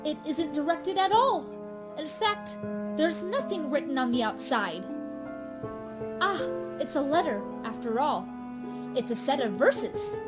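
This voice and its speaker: A woman's voice: high-pitched, lively intonation, measured pace, loud music behind the voice. A woman in a radio play acting as a fussy, deferential court herald with a formal delivery. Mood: eager, officious.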